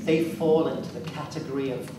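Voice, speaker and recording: A man's voice: medium pitch, fairly even intonation, fairly quick. A man narrating a scripted dramatic story live in a theatre. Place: theatre, live performance recorded from a distance. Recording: very echoey hall, distant, loud background noise.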